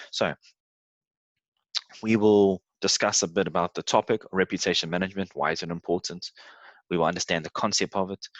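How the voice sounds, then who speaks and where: A man from South Africa speaks with a very low voice, close and dry on a computer mic. He presents his tutoring services in a relaxed, conversational way, scattering filler words as he shares his screen. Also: dry recording. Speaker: English tutor.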